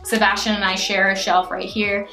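A woman's voice: mid-range voice, flat delivery, moderate pace, sharp tone, soft background music. A young woman giving a casual, upbeat house tour vlog, chatty with lots of fillers. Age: young adult. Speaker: home vlogger. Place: small rooms of a house, indoors. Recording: echoey small rooms.

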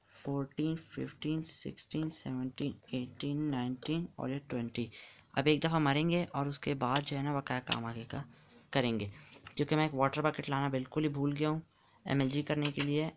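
Man, casual game commentary, flat steady delivery, counts aloud while building, faint hiss behind. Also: fairly high pitch. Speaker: gamer.

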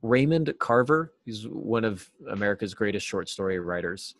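A man in his forties giving earnest, explanatory answers as an interview guest, stressing key words deliberately. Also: on the low side, lively intonation, no room sound. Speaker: writing teacher and novelist, interview guest. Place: small cluttered office, video call.

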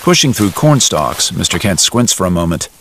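A man acting as the narrator of an audio drama, telling it in a measured, descriptive, literary way. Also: sits low, sing-song, fairly bright tone, slight background noise, heavily acted. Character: omniscient male storyteller narrating in present tense.

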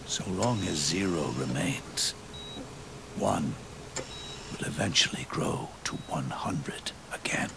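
An actor playing an aging, weary, scarred old soldier, grave and reflective, with a deep, gravelly, breathy growl and a slow, halting, solemn delivery in a video game cutscene. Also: male voice, animated, noisy background, heavily acted. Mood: solemn, philosophical. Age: older. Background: orchestral music.

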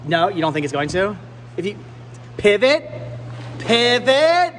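A man in his thirties hosting a casual vlog, chatting enthusiastically and rambling with halting, repeated words. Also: high-pitched, very animated, fairly bright tone, a little hiss or hum. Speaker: vlog host. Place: large indoor flea market and warehouse loading area. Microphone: handheld camera at arm's length.

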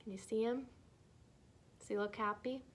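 A young woman reads a children's picture book aloud in an expressive, deliberate way for young listeners. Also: fairly high pitch. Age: young adult. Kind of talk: storybook read-aloud. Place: small decorated room indoors.

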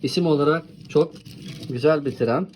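Very low-voiced woman hosting toy showcase. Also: normal speed, noisy background. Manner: encouraging, often asks questions. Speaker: host presenting toy trains with a child. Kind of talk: casual toy showcase chat.

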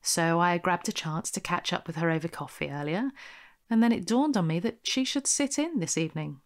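A woman playing a smooth, bossy con artist with a brisk, persuasive manner in an audio drama. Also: fairly low pitch, close to an everyday voice. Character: smooth, bossy female con artist posing as investment promoter.

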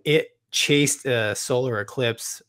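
A man's voice: medium pitch, slow, fairly bright tone. An American man in his forties chatting casually and informally as a podcast co-host. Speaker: podcast co-host, military pilot. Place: small home studio room. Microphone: close studio microphone with headphones.

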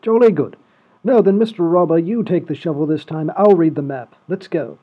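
A man playing a prim, put-upon lord in a comedy radio play, formal and fussy, with a husky voice. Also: a little high, moderate pace. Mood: haughty, brisk. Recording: tinny phone-like audio, faint music.

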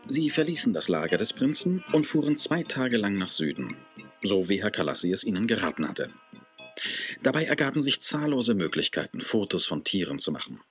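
An actor narrating a children's audio drama in a measured, scripted storytelling way. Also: male voice, fairly low pitch, big pitch swings, soft background music. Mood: measured, descriptive.

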